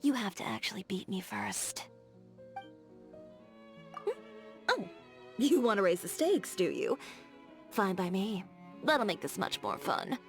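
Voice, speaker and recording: A woman's voice: medium pitch, very animated, takes it slowly, gravelly, pauses often, exaggerated voice. A woman voicing a confident, blunt young fashion designer in a video game, direct and playfully teasing. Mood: teasing, competitive. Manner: direct, self-assured, teasing. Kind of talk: scripted video game character dialogue. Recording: background music.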